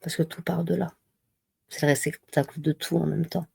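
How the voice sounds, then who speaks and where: A woman giving a slow, measured devotional reading and reminder, pausing often between phrases. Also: low-pitched, level tone, vocal fry, mellow, breathy edge, dry recording, studio quality. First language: not Arabic. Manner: slow, measured recitation with pauses. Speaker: religious reminder speaker.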